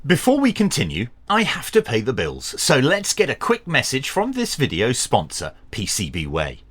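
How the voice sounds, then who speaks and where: A man presenting a scripted product review in a measured, dryly humorous presenter's delivery. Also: mid-range voice, a bit bright, slightly rough. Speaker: radio review channel host.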